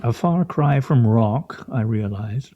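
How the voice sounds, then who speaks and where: A man acting as a wry, theatrical pedant in a radio play. The voice is very dark and lively in pitch, with a droll, mocking manner, slightly dull in sound over faint music. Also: slow pace.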